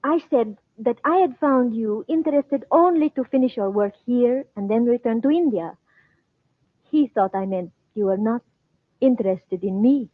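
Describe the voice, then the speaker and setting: An actress playing an anxious, devoted Egyptian princess in an old-time radio drama, with formal, foreign-sounding phrasing. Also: female voice, a little high, lively intonation, normal speed. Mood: anxious, resisting. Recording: thin, roomy, phone-like old radio recording.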